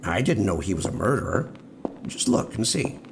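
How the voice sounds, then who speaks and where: A man acting a low, gravelly old prisoner. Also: very animated, normal speed. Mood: measured, urging. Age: older. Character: calm, cryptic old male prisoner, repentant. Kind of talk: audio drama acting. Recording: noisy ambience behind the voice.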